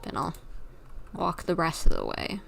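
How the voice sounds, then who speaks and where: A woman's voice: fairly low pitch, huge pitch swings, a little slow, creaky, a bit bright, a little hiss or hum. A woman livestreaming a game, giving casual commentary and thinking aloud informally as she plays.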